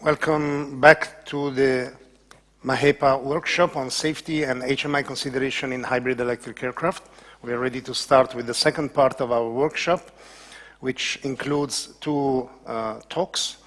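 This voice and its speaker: Male moderator, formal introductions, medium pitch, echoey hall reverb. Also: steady tone, normal speed, a bit bright. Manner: formal, with occasional fillers. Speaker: workshop moderator.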